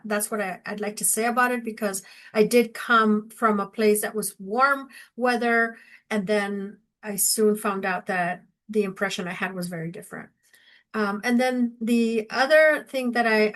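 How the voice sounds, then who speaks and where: A woman in her fifties from Mexico and Southern California, speaking informally and reflectively as a faculty panelist at an information session. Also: mid-range voice. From born in Mexico, grew up in Southern California. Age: 50s. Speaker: university faculty member on a program panel.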